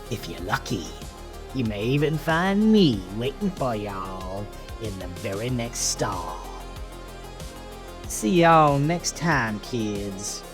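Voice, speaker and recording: A gleefully creepy horror host with a folksy Southern US drawl. He takes his time, swinging theatrically up and down with teasing menace, like the presenter of a slasher audio drama, over prominent music. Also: mid-range voice. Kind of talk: horror anthology host outro.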